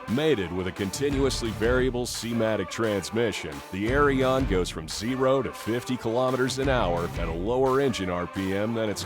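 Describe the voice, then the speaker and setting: A man narrating a scripted commercial voice-over with a punchy, emphatic delivery. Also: on the low side. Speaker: promo narrator.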